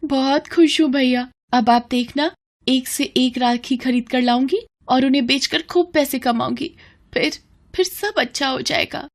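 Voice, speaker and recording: A woman's voice: high-pitched, measured pace. A woman voicing an earnest, poor little vegetable-seller girl in a story, childlike, polite and pleading. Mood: delighted, grateful. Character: earnest young poor girl selling vegetables, cheerful but tearful.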